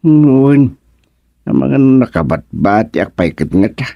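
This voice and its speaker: A slow, medium-pitched voice, recorded dry: a plain-spoken male villager in an Ilocano radio comedy. His accent is Ilocano. He grumbles comically and draws out his exclamations. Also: big pitch swings, gravelly, dry recording, dull audio, full character voice. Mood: resigned.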